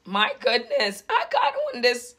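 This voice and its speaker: A woman's voice: on the high side, huge pitch swings, a little slow, a bit bright. A middle-aged woman on a home live stream, praying fervently and singing along while her voice cuts in and out, recorded on a phone held at arm's length in a small room. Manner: fervent, prayerful, swings between talk and song. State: voice cutting in and out. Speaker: worship leader on a live stream. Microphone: phone camera at arm's length. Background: worship music playing.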